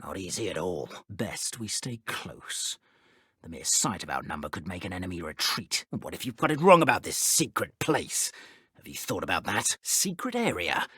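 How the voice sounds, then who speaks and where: A man voicing a pompous, sneering dark wizard villain in a video game, with theatrical, formal, old-fashioned phrasing. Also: deep voice, sing-song, moderate pace, husky, dry recording, clean studio sound, full character voice. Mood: low, scheming.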